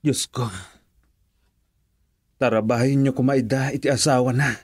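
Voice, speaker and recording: A man's voice: mid-range voice, steady tone, unhurried, lightly acted. A man with an Ilocano accent acting an earnest, emotional man in a romantic radio drama. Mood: shocked.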